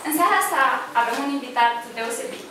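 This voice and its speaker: A woman's voice: on the high side, expressive, racing. A young woman introducing the evening's guest as organizer, friendly and informal. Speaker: event organizer. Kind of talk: event introduction. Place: small cafe room, indoors, live audience. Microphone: far camera. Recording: echoey room from far off, noisy.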